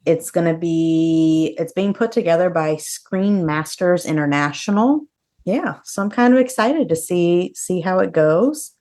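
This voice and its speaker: Casting: female podcast co-host, lowish register, loose conversational delivery, informal news chat. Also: animated, a little slow, mellow. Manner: conversational, peppered with "like". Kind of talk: casual chat about horror news.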